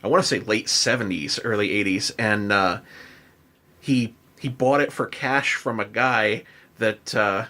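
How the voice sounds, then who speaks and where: A man's voice: fairly low pitch, measured pace, bright, forceful tone. An American man hosting a podcast, telling stories in a casual, rambling way full of filler "likes". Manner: rambling, frequent "like". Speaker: podcast host. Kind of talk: casual chat and storytelling.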